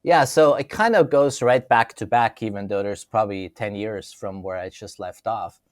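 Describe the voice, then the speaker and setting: A middle-aged man from Austria speaks in a fairly low voice, thinking aloud and restarting his phrases as he goes. The sound is very dry and close, with no room around it. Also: moderate pace, no echo. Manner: thoughtful, restarts phrases often. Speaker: CEO guest. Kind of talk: conversational interview.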